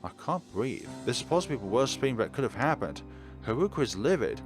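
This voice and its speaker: A man reading a visual novel aloud as expressive narration, adding his own casual commentary. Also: medium pitch, a little fast. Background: soft game music.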